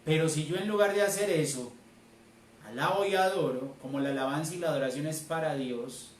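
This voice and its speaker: A man's voice: on the high side, fairly quick. A Colombian man, a church elder, preaching a sermon in an emphatic way, repeating key phrases. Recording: roomy, distant mic, faint noise.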